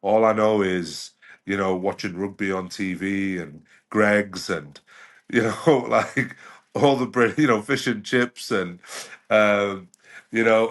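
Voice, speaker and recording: Man, middle-aged, raised in the UK, lowish voice, reflective, lots of "you know", podcast guest. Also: fairly bright tone. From United Kingdom. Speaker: guest doctor. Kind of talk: conversational podcast interview.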